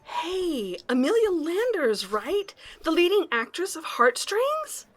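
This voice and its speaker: Woman playing a bold, self-possessed stranger, lively intonation. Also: very high-pitched, slow pace, heavily acted. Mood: mocking. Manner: blunt, mocking, self-possessed. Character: bold, sharp-tongued mystery woman, coolly confrontational, privately kind. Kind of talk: audio drama acting.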